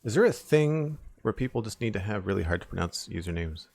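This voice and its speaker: A young man with a deep voice chatting casually on a live stream, thinking aloud as he builds, into a desk mic at home. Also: animated, fairly quick, creaky, a little mellow, a little hiss or hum. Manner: rambling, thinking aloud, counts blocks under his breath. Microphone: desk microphone with headphones.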